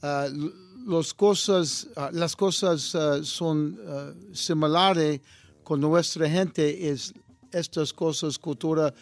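A man whose first language is English, an actor guest in a casual interview, speaking halting Spanish, searching for words and switching into English. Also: on the high side, fairly even intonation. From United States.

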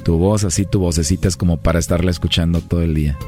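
Smooth, flirty male prank caller, very low voice, music bed under him. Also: steady tone, fast talker, dark tone. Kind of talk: flirty prank phone call.

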